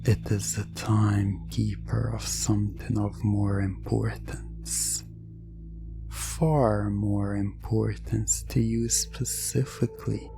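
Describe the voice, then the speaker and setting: An ASMR hypnosis narrator speaks extremely slowly and deliberately, repeating phrases. The mid-pitched voice has a crackling fry and at times falls to a near-whisper. Music plays clearly behind it. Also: flat delivery. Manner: deliberate, repetitive phrasing with lingering pauses. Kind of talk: slow hypnotic ASMR roleplay narration in second person.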